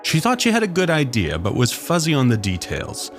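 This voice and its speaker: A man's voice: a little high, huge pitch swings, fairly quick, soft background music. A man reading a scripted story aloud as its narrator.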